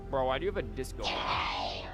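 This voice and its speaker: A man's voice: fairly low pitch, huge pitch swings, fairly quick, gravelly. A man giving casual live gameplay commentary as a streamer, in a wry, joking manner. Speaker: game streamer. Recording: game music behind the voice. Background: video game music.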